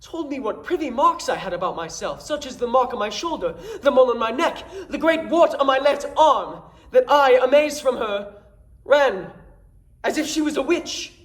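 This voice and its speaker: A man playing an exasperated, mocking Shakespearean manservant in an animated, declamatory comedy monologue. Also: very high voice, moderate pace, pitched above the performer's own voice. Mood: alarmed. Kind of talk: theatrical classical comedy monologue.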